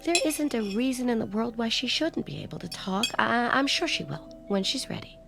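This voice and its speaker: An actress playing a tender, pleading, middle-aged housewife in an old-time radio drama, protective of an orphaned child. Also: female voice, on the high side, sing-song, fairly quick, vocal fry. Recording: vintage-style radio drama, music underneath.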